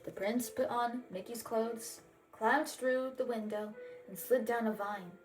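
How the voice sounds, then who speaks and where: A woman's voice: a little high, steady tone, measured pace, faint music behind, low-quality recording. A woman narrating a children's storybook as a read-aloud. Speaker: storybook reader.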